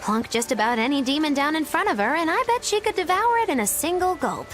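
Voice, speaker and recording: Uma atriz interpretando uma bruxa excêntrica e teatral, de tom zombeteiro. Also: female voice, sits high, animated, quick pace, fairly bright tone, faint music behind. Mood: teasing. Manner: theatrical, mocking. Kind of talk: game dub acting.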